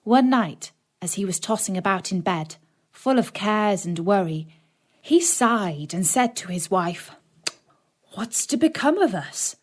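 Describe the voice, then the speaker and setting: A woman narrating a children's story expressively, gently addressing young listeners. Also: mid-range voice, a little slow.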